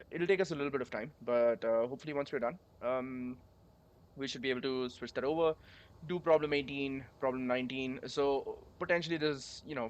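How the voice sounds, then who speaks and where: Young man, sore throat, rambling coding streamer, mid-pitched voice, desk mic. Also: smooth, lo-fi sound. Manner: rambling, chatty, many fillers. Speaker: coding livestreamer. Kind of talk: casual coding livestream.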